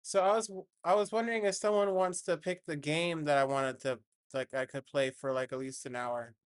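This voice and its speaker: A man's voice: on the high side, fairly even intonation, normal speed, clear, resonant tone, dry recording, studio quality. A young man chatting casually as he sets up a stream at home, rambling and hesitant with lots of fillers, speaking into a desktop mic. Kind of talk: casual chat while setting up a stream.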